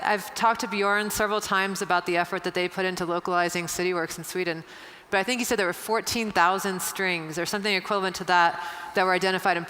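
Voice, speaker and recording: A polished conference presenter speaks in a bright, crisp voice at a middle pitch. The delivery is smooth and corporate. The voice rings in a long hall reverb.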